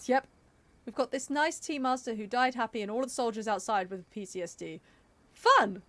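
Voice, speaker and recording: A young woman live streaming with casual game commentary, musing out loud in a chatty, informal way. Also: fairly high pitch, moderate pace, no room sound. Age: young adult.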